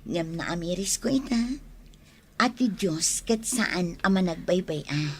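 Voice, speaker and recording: A woman playing a soft-hearted, hopeful young woman in love in an Ilocano radio drama. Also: on the low side, lively intonation, a little slow, slightly rough. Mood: grateful.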